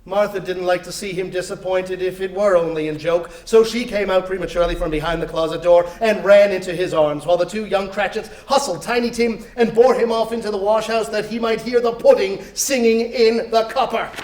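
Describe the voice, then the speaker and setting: A man's high-pitched voice rings in a roomy space. He reads a story aloud expressively, like a live recital, with theatrical and deliberate phrasing. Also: darkish tone, distant mic. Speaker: dramatic reader. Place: indoor live reading before an audience.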